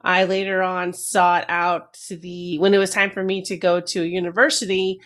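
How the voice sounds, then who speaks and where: A woman's voice: lowish voice, little pitch movement, moderate pace. A middle-aged woman, a retired army veteran from New Jersey, speaking candidly and reflectively as an interview guest, with some hesitations. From New Jersey, USA. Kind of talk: casual interview.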